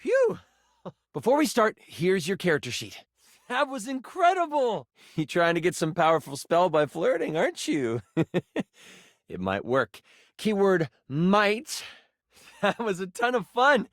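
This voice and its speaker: Excitable, high-voiced nerdy game master. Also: male voice, a little slow, no echo, studio quality, exaggerated voice. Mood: giddy, encouraging. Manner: enthusiastic, nerdy, theatrical. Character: excitable friendly male tabletop game master. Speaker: voice actor in a dating sim game. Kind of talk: animated game character acting, dramatic narration.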